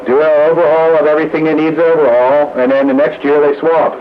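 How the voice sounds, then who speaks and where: A man's voice: on the high side, level tone, brisk. A plain-spoken, matter-of-fact man chatting casually over the radio. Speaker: radio hobbyist in a group conversation. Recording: muffled, noisy two-way radio audio.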